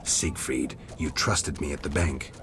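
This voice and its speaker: An actor playing a stoic, world-weary monster hunter in a video game, terse and deadpan. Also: male voice, extremely low pitch, unhurried, a little hiss or hum. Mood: terse, calm. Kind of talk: video game dialogue acting.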